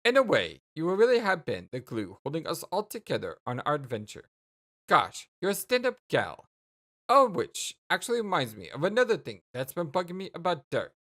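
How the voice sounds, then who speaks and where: Woman voicing a folksy, rambling, low-pitched young man. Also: huge pitch swings, normal speed, fairly bright tone, heavily acted. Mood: chatty, oblivious. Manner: wordy, old-fashioned folksy slang. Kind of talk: dramatic dialogue reading.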